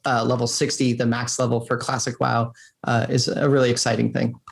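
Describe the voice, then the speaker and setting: A man's voice: mid-range voice, flat delivery, a little fast, vocal fry, husky. A man with a mid-range voice talks casually as a game developer being interviewed. He sounds enthusiastic and lets small chuckles into his conversational delivery.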